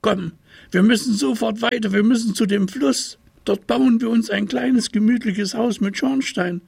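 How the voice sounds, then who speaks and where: Man doing a high, excited little tiger. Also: normal speed, vocal fry, breathy edge, no room sound, full character voice. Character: small eager boy tiger, excitable. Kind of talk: children's radio story.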